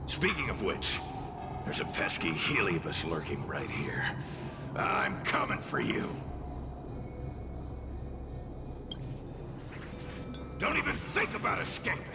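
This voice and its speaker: A man voicing a cocky, mischievous fire spirit in an anime-style game, brash and mocking with a gravelly voice. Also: low voice, wildly swooping pitch, moderate pace, exaggerated voice. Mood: gleeful menace. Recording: loud background music.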